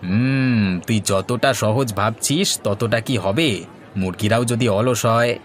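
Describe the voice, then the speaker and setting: A man as an excitable, doubting cartoon villager. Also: fairly low pitch, takes it slowly, some chatter behind, slight background noise. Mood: doubtful. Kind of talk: comic Bengali cartoon dialogue. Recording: music behind the voice.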